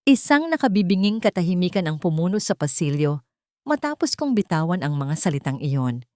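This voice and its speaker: A woman narrates a scripted first-person dramatic story in a solemn, measured, emotive way. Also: lowish voice, big pitch swings, dark tone, dry recording, clean studio sound.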